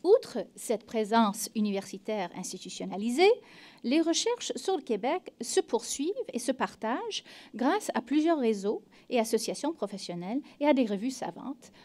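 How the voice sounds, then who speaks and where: A middle-aged woman from Western Canada, a native English speaker, giving a measured academic talk from notes in a meeting room, speaking into a table mic. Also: a little high, very animated, mellow. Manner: measured, reads from notes with frequent "euh" fillers. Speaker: professor on a conference panel. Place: meeting room with seated audience. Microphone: table mic on stand.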